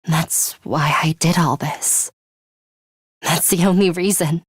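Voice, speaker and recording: A woman voicing a cool, guarded young female fighter in a video game, with a measured, composed and imperious way of speaking. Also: sits low, fairly even intonation, breathy edge, no room sound, clean studio sound, heavily acted. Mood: somber, confessing. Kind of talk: English video game voice acting, dramatic anime-style dialogue.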